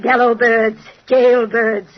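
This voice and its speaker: A woman's voice: on the high side, level tone, takes it slowly. An actress playing a slyly gleeful, wicked old fortune-telling woman in an old-time radio horror drama, sardonic, taunting and theatrical. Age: older. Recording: muffled, noisy old radio recording.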